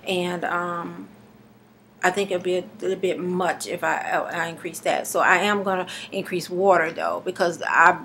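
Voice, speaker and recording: A woman in her thirties, slightly hoarse and congested, talking haltingly in a casual video diary with many fillers and restarts, recorded on a webcam in her living room. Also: lowish voice, level tone, a bit bright, a little hiss or hum. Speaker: vlogger. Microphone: webcam at arm's length.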